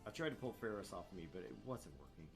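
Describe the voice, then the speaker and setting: A low-pitched male gaming host reads visual novel narration aloud with some drama, then drops into casual, joking commentary. Faint music plays behind him. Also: animated, quick pace.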